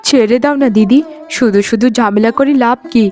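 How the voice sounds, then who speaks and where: High plaintive orphaned young wife played by a woman. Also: fairly quick, darkish tone, close to an everyday voice. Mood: hurt, tearful. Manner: plaintive, tearful, submissive. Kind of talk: melodramatic cartoon voice acting. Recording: music behind the voice. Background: music.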